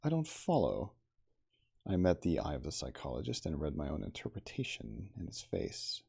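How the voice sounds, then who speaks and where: Male ASMR reader, very low and raspy, expressive storytelling delivery. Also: fairly quick. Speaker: audiobook-style reader. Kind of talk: ASMR reading of a classic novel.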